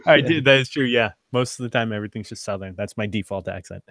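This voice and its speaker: Casual, halting man running a tabletop game. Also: fairly low pitch, fairly bright tone, no echo, studio quality. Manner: halting, self-deprecating. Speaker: game master.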